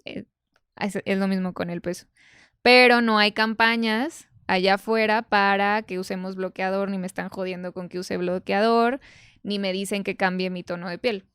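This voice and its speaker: Crisp woman explaining point by point. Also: medium pitch, steady tone, normal speed, fairly bright tone, dry recording, clean studio sound. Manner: didactic, emphatic, point by point. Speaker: podcast guest, nutrition expert. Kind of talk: conversational explanation.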